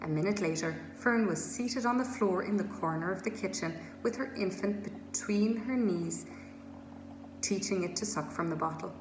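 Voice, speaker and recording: A woman's voice: medium pitch, normal speed. A woman reading a children's storybook aloud as a scripted narration. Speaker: storybook reader. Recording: echoey room with steady noise.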